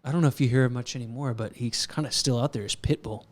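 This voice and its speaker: Young dude on a podcast, mid-pitched voice, rambles with lots of likes. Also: male voice, quick pace. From South Florida. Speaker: podcast co-host. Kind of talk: casual chat.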